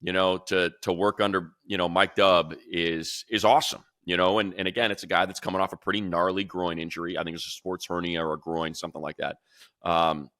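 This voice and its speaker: A man co-hosting a sports talk show as a radio play-by-play announcer, energetic and rambling, talking in a studio. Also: no room sound. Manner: energetic, rambling, mimics fans in a high voice.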